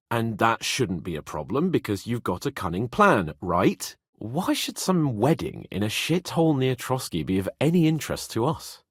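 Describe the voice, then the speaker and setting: A man's voice: fairly high pitch, breathy edge, dry recording, studio quality. A man playing a cocky, haughty young medieval nobleman in a video game, sardonic, emphatic and brash. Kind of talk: video game character dialogue.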